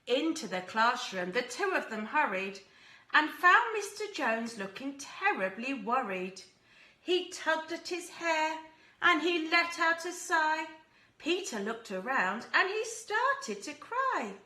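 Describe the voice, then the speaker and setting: A woman teacher reading a rhyming storybook aloud to young children in an expressive, deliberate way. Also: sits high, roomy sound. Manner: animated, deliberate, acts out characters and asks listeners questions.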